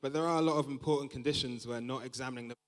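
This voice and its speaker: A man's voice: medium pitch, steady tone, racing. A young man with a London accent doing live stand-up, telling observational anecdotes conversationally and acting out quoted remarks. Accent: London English. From Southeast London, England. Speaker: stand-up comedian. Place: large comedy venue, on stage. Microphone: stage microphone. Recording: reverberant hall, live stage microphone.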